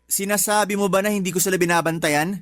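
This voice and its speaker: A man's voice: high voice, steady tone, fast, fairly bright tone. A man playing a defensive, sulky young stay-at-home father in a Tagalog radio drama. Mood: defensive, irritated. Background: background music.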